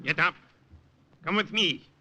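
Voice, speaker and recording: An actor playing a brusque, obedient German corporal, curt in manner, in an old radio drama. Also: male voice, sits high, measured pace. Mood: curt, officious. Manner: curt, parroting orders. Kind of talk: 1940s radio acting. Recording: very noisy vintage radio recording.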